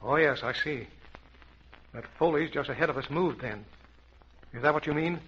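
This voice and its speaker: A man's voice: fairly high pitch, normal speed, slightly rough. An American man playing a bold, commanding safari captain in a theatrical old-time radio adventure serial. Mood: tense, hushed. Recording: dull, noisy vintage radio recording.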